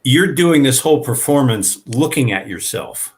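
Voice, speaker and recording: A man's voice: medium pitch, lively intonation, normal speed, slight background noise. A man on a panel video call, chatting casually and a little hesitantly, repeating words. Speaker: discussion panelist. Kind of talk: casual chat.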